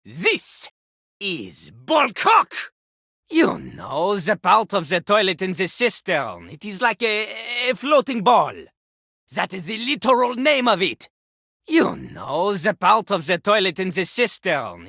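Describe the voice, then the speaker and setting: A woman's voice: medium pitch, gravelly, a bit bright, no echo, studio quality, heavily acted. A woman voicing a cocky, ruthless talking toilet rapper in a video game, with a brash, mocking, grandiose manner. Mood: boastful, instructing. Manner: brash, mocking, grandiose, speaks of self in third person. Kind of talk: animated video game character acting.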